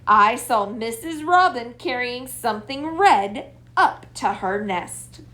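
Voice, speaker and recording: A woman's voice: a little high, expressive, takes it slowly, sharp tone, exaggerated voice. A woman voicing a friendly, reassuring boy who encourages his playmate in a storybook.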